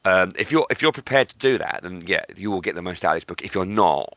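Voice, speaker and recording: A man with a low, forceful voice hosts a book podcast. He argues his opinions emphatically and repeats words to drive them home. The sound is dry, with very little room to it. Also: animated, fast, no echo. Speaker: podcast host.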